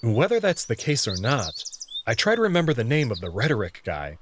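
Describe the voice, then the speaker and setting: A man's voice: mid-range voice, wildly swooping pitch, fairly quick, noisy background. A man reading scripted first-person narration for a visual novel.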